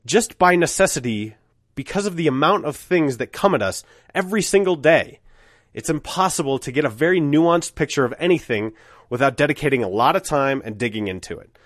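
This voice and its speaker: A man's voice: fairly high pitch, lively intonation, normal speed, no echo, clean studio sound. A middle-aged man with a cold, hosting a podcast and speaking in an earnest, candid, conversational way. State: has a cold. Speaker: podcast host. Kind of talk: casual podcast intro monologue.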